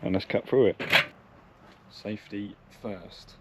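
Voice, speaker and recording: Low-pitched, monotone young man. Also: takes it slowly, highs cut off, very noisy. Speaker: vlogger. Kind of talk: casual narration while working on bikes. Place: car, sheds and yard while working.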